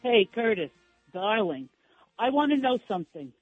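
Over a thin, echoing phone line comes a woman calling a radio show. Her voice is high and husky, and she is feisty and familiar, emphatic in how she speaks. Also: sing-song, normal speed, faint music behind. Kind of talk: casual, emphatic phone-in chat. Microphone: telephone.

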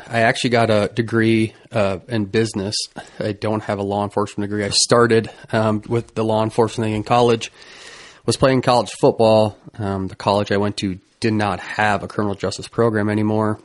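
Easygoing Midwestern deputy, low voice. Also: male voice, level tone, moderate pace. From Iowa, USA. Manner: easygoing, chuckles often. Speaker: sheriff's deputy, podcast guest. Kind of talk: casual interview chat.

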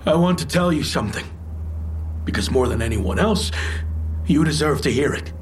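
An actor playing a guilt-ridden, bitter, grieving man in an audio drama, confessing in a heavy, halting way. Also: mid-range voice, expressive, a little hiss or hum, lightly acted. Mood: intense, remorseful. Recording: music playing under the voice.

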